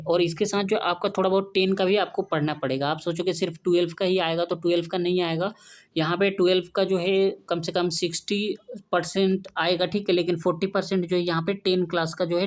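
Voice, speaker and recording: A young Indian man gives an informal exam-prep explanation, listing items one by one with frequent check-in tags and mixed-in English terms. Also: high voice. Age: young adult.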